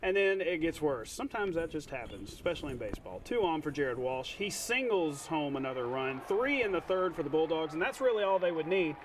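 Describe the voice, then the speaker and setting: A man co-anchoring a TV sportscast, narrating highlights in an informal, jokey way with playful banter. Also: animated, a little fast, hardly any pauses, recorded from a distance, a crowd in the background. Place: TV studio.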